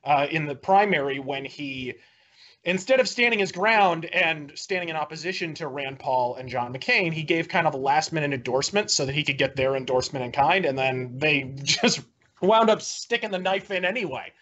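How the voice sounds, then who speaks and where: A man's voice: on the high side, moderate pace, fairly bright tone, muffled recording. A man in a heated political debate, argumentative, often breaking off and restarting his sentences. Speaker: debate guest.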